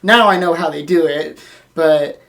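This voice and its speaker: A woman's voice: low-pitched, unhurried, creaky. A woman co-hosting a podcast, chatting casually in tentative asides that often trail off. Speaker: wrestling podcast co-host. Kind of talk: casual group chat.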